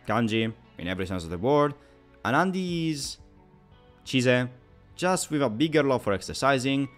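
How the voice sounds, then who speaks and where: Man reviewing, very lively pitch over music. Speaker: video game reviewer. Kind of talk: scripted video essay commentary.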